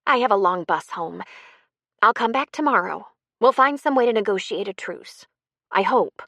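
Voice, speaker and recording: A woman's voice: medium pitch, animated, normal speed, fairly bright tone, dry recording, voice pushed up. Woman acting an earnest, emphatic older woman. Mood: conciliatory. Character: frank, consoling older woman, urging reconciliation.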